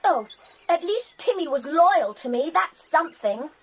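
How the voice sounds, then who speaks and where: Stroppy tomboy girl in kids audio play, really high animated voice, blunt. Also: unhurried, slight background noise, heavily acted. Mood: indignant. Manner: indignant, blunt. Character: hot-tempered sulky tomboy girl adventurer. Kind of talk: children's audio drama acting.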